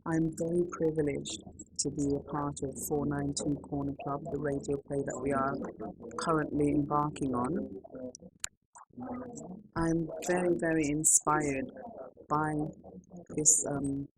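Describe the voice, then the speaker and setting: A woman with a deep voice talks in an earnest, deliberate way, leaning on repeated words. She sounds like a cast member introducing herself, with clear room noise around her. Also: little pitch movement. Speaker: radio drama cast member. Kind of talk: introducing herself to camera, unscripted. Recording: clearly noisy room ambience.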